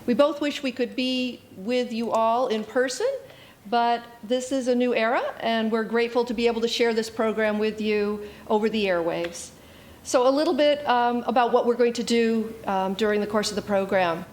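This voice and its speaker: A woman's voice: on the high side, normal speed, darkish tone, slight background noise. A woman hosting a community library program, speaking in a friendly presenting and interviewing style. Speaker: host, library program coordinator.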